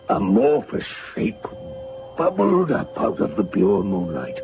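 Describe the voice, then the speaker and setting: A man's voice: on the low side, gravelly, full character voice. An actor playing a grieving old general who tells his story solemnly and dramatically in a radio drama. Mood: hushed, grieving. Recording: dull, hissy old radio recording.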